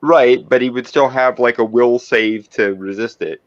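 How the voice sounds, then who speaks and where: A man's voice: mid-range voice, normal speed, dry recording, muffled recording. A man debating game rules casually, reasoning his argument out step by step. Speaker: tabletop roleplaying player.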